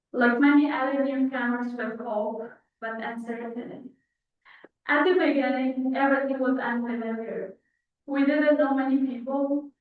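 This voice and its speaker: A young woman with an Afghan accent delivers a prepared personal speech in a fairly high voice. The sound is thin and phone-like, with heavy echo from a large hall. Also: distant mic. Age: young adult. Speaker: guest speaker telling her story. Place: large indoor church.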